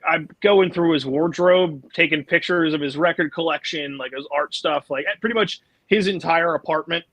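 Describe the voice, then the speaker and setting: Higher-voiced, easygoing man in casual chat. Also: moderate pace, a bit bright, dry recording, rough recording. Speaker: musician guest.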